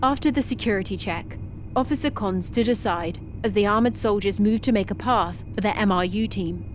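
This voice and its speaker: A woman reading a science-fiction story aloud in a steady, descriptive way. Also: mid-range voice, a little fast, a little hiss or hum. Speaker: narrator. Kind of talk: scripted story narration.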